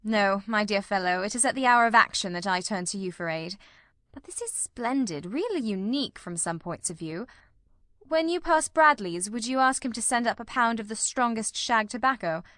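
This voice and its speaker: Woman as male Victorian detective, brisk, incisive, dry wit, formal, commanding, close dry audiobook sound. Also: fairly high pitch, expressive, sharp tone, no room sound. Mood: dry, genial. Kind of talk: dramatised reading of a Victorian detective novel.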